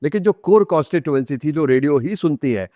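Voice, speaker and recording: A man's voice: fairly high pitch, lively intonation, fast talker, dark tone, no room sound, clean studio sound. A middle-aged Indian man speaking as a podcast guest, explaining and telling anecdotes conversationally, mixing in English phrases. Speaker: interview guest, author. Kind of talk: conversational storytelling in a podcast interview.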